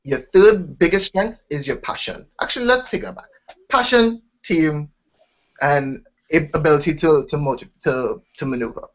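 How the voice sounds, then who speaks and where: A man's voice: fairly high pitch, normal speed. A young man from Trinidad and Tobago with a Trinidadian accent, eagerly telling his startup story in an interview, often restarting his sentences. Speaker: startup founder, interview guest. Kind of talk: casual interview talk. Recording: dull lo-fi sound.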